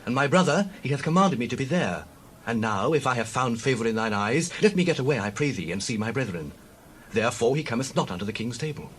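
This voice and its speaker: A man plays a devoted, noble prince in dramatized scripture. His mid-pitched voice swings widely with solemn, emphatic oratory, over faint noise and birdsong. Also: expressive, fast talker, a bit bright, natural voice, low-quality recording. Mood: careful. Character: loyal, earnest prince, devoted sworn friend. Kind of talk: dramatized Bible audio acting.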